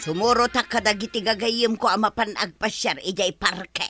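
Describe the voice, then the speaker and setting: An actress playing a snappish, complaining wife with an Ilocano accent. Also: female voice, mid-range voice, normal speed, over loud music, lightly acted. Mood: irritated.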